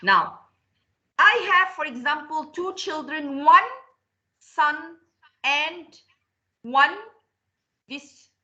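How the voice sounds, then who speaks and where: A woman leads an English lesson over a video call, patiently spelling out and repeating example answers. Her voice sits a little high and sounds bright and forceful. She drills phrases step by step for her learners. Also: animated, drawn-out words, pauses often, dull audio. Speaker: English teacher. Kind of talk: online class instruction.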